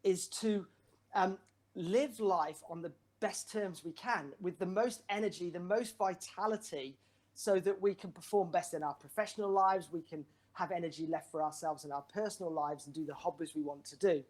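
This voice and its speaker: A man's voice: high-pitched, darkish tone. Earnest man explaining health. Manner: earnest, halting with fillers. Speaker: wellbeing coach, guest. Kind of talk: conversational explaining. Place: brick garden office.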